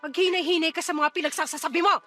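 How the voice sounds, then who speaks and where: A woman's voice: very high-pitched, steady tone, rapid-fire, sharp tone. A woman playing a callous, scornful mother in a radio drama, scolding and sarcastic as she sides with her lover. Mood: scornful, accusing. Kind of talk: Tagalog radio drama acting. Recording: dramatic music under the dialogue.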